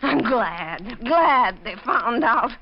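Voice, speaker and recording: An actress playing a delirious, guilt-ridden middle-aged maid, mumbling and pleading in old radio horror style on a muffled recording. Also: female voice, on the high side, animated, extremely slow, slight background noise, heavily acted. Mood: haunted, guilty. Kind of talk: 1940s radio horror acting.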